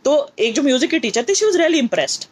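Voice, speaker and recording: A woman's voice: sits high, sing-song, normal speed. A middle-aged woman with a North Indian accent giving a motivational lesson in mixed Hindi and English, emphatic and repetitive, often asking rhetorical questions. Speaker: teacher and motivational speaker.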